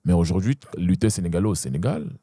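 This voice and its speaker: A young man with an Ivorian French accent speaks fast in a low, dark voice, animated and full of restarts. The sound is dry and close. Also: no room sound. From Ivory Coast. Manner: animated, repeats and restarts, addresses listener with "tu vois". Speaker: guest, Muay Thai champion. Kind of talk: casual interview talk.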